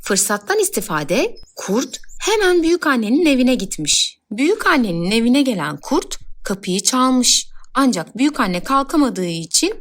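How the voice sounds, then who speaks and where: A woman's voice: a little high, big pitch swings, moderate pace, few pauses. A woman storyteller reading scripted fairy tale narration for children.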